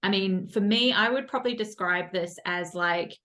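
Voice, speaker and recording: A woman's voice: mid-range voice, moderate pace, bright, forceful tone. A woman hosting a podcast, chatting casually with a guest and laughing often, with many "like" fillers. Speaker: podcast host. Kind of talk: casual interview chat.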